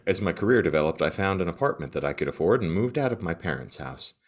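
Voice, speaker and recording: Very deep, theatrical male podcast host. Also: expressive, fast talker. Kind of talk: mock-serious scripted reading for comedy.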